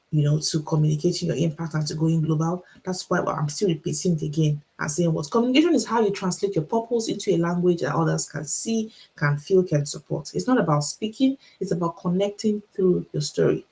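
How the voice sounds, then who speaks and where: A woman's voice: fairly low pitch, creaky, darkish tone, low-quality recording. A middle-aged woman with a Nigerian accent, giving an encouraging motivational talk on a livestream, repeating her key points and asking the audience rhetorical questions. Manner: speaks deliberately so listeners can follow. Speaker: author and motivational speaker.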